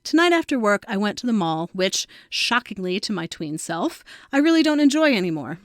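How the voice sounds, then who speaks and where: A woman's voice: medium pitch, big pitch swings, brisk, no room sound, studio quality. A woman of about forty reading her own scripted personal essay as a narrator. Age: around 40.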